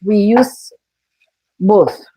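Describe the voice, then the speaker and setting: A woman's voice: medium pitch, drawn-out words, warm tone, slight background noise, poor audio. A woman from Cameroon hosting a live cooking stream from her kitchen, talking viewers through each step and greeting them. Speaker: cooking show host.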